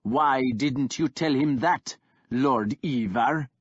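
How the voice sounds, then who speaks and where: Man doing a scornful warlord, slow drawn-out taunts, louder and menacing, dry dull studio sound. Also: mid-range voice, breathy edge, dry recording. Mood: scornful taunting. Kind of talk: audiobook character voice.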